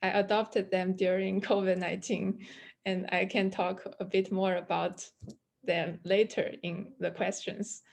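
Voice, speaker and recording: A young woman originally from China introduces herself on an online panel, speaking at a middling pitch. She pauses often and fills gaps with small hesitations. The sound comes through a video call. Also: level tone, creaky. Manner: hesitant, many fillers. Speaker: panelist, PhD student.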